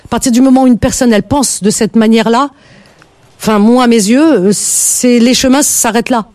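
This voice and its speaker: A woman's voice: a little high, normal speed, darkish tone, dry recording. A woman hosting a late-night call-in radio show, chatting with callers in an emphatic way and often repeating words as she gets going.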